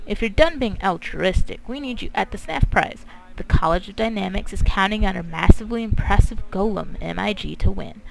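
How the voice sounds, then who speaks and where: A woman's voice: mid-range voice, expressive, fairly quick, gravelly, few pauses, poor audio. A woman hosting a gaming video, commenting casually and reading quest text aloud, very close to the mic. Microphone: close mic, breathy pops.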